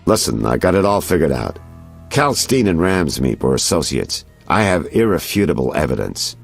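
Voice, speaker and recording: A seasoned detective in a fantasy game. The voice is extremely deep and gravelly, with big swings in intonation. He sounds self-assured and condescending. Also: big pitch swings, normal speed, soft background music, exaggerated voice. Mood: assured, defensive. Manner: confident, condescending. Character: self-assured seasoned male detective, smooth-talking and secretive. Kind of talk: video game voice acting.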